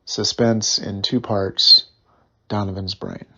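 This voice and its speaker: A man hosting a radio programme collection with a casual, halting, unscripted introduction. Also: lowish voice, flat delivery, pushed and bright, lo-fi sound. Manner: halting, informal.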